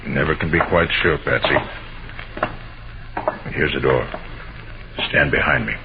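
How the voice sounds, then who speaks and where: An actor playing a cool, commanding private detective who speaks with brisk authority in an old-time radio drama. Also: male voice, a bass voice, gravelly, full character voice. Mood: calm, wary. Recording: muffled, hissy old radio recording.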